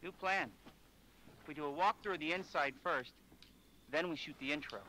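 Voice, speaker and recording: Sarcastic jaded TV host. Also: male voice, fairly high pitch, normal speed, bright, forceful tone, slight background noise, rough recording. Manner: sarcastic, swaggering. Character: cocky jaded male TV show host. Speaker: game character. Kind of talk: video game cutscene dialogue.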